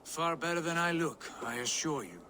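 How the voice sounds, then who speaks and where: Proud, scornful young Norse warrior: sharp-edged, slightly high, declamatory, faint background noise, video game. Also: male voice, animated, normal speed. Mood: cold. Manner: contemptuous, formal, declamatory. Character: bitter, proud Viking warrior son, scornful and grandiose. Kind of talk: dramatic video game acting.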